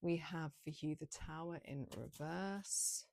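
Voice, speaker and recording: A woman giving a casual, improvised tarot reading, rambling along with gentle, encouraging spiritual guidance. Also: sits low, slow, gravelly, slight background noise. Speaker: tarot card reader, channel host.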